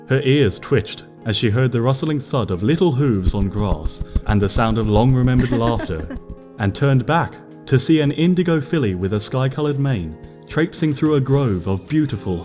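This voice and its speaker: A man reads a story aloud in a calm, unhurried voice at an ordinary middle pitch. Soft music plays faintly underneath. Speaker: story reader. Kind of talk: storybook narration.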